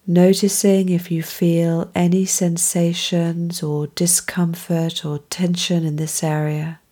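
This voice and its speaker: A woman guides a mindfulness meditation in a calm, soothing way. Her voice is soft-edged and mellow, sitting a little low. She speaks very slowly, letting each gentle phrase settle before the next.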